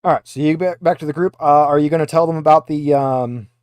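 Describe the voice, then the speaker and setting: A man runs a tabletop roleplaying game as its game master. His voice sits a little high for a man and is recorded dry, with little room sound. He improvises his narration in halting phrases, describing scenes and settling rules as he goes. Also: a little mellow, smooth, no room sound.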